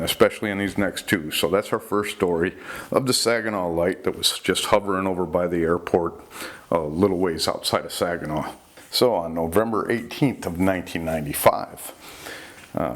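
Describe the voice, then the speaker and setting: A man retelling recorded incidents in an informal, conversational storytelling style, as the host of a talk. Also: deep voice. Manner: conversational, relays dialogue in reported speech. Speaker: host recounting UFO reports.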